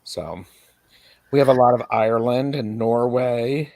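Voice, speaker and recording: A man's voice: mid-range voice, a little slow, vocal fry, mellow, no echo, low-quality recording. Chatty man telling stories. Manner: chatty, storytelling with acted asides. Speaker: co-host, tarot reader. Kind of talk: casual chat.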